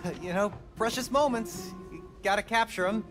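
A man's voice: high-pitched, normal speed, over music, close to an everyday voice. An actor playing a good-natured, hesitant teenage boy in a video game. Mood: improvising, upbeat. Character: chatty, good-natured, slightly awkward teenage school friend, male.

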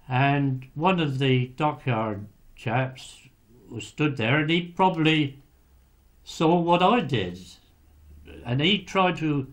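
An old former lighthouse keeper tells his memories at an unhurried crawl. He stops often, hunting for words between fillers, his voice sitting at an ordinary middle pitch. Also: fairly bright tone. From England. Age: older. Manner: halting, hesitant, many pauses and fillers. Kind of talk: recorded wartime recollection.

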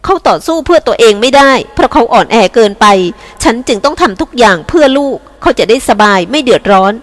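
A woman's voice: on the high side, expressive, quick pace, pitched above the performer's own voice, close to an everyday voice. A woman reading aloud and voicing an anxious, scheming woman devoted to her young son, in a pleading, urgent way. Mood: insistent.

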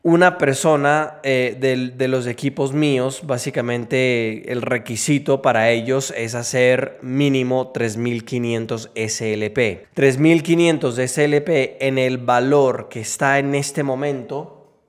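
Male video host, thirties, mid-pitched, conversational explainer on a close mic at home. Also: fairly even intonation, slow, clear, resonant tone. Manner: conversational, checks in with tag questions. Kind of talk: casual explainer to camera. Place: small home room, indoors. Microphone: close desk microphone on an arm.

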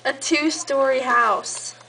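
High-voiced young woman teasing on a call. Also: fairly even intonation, takes it slowly, creaky, sharp tone. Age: young adult. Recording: noisy amateur home camera recording.

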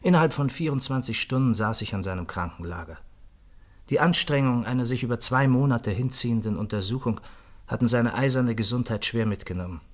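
An actor playing a caring, persuasive gentleman doctor in a German radio play, solicitous in manner. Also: medium pitch, lightly acted. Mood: sober narration. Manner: solicitous, coaxing, chuckling. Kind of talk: radio play acting and first-person narration.

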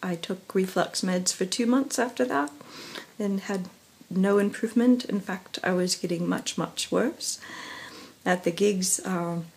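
Woman, young adult, husky breathy weakened voice, slow halting talk, many fillers, phone at arm's length. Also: mid-range voice. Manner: halting, many fillers and pauses, soft laughs. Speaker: professional singer vlogging. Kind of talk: casual personal vlog. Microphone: phone camera at arm's length.

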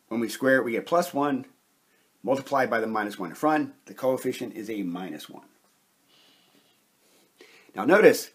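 A man giving a methodical, step-by-step instructional lecture, pausing between short phrases in a deliberate way. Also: medium pitch, husky. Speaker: chemistry lecturer, presenter. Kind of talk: step-by-step instructional explanation at a whiteboard.

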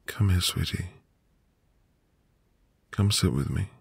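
A man playing a sweet, reassuring newlywed husband in an intimate ASMR roleplay, with a gravelly, breathy voice and a gentle manner. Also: low voice, no echo, exaggerated voice. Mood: gentle, admiring. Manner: slow, gentle, soothing. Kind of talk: ASMR boyfriend roleplay, intimate soft delivery.

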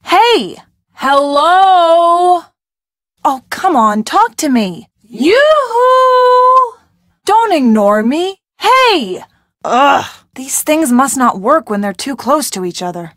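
Casting: young American female adventure-game sidekick, peppy and wry, fairly high voice, dry studio sound. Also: no echo, heavily acted. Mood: exasperated, urgent. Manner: expressive, colloquial, wry asides. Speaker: video game voice actor. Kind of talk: scripted adventure game dialogue.

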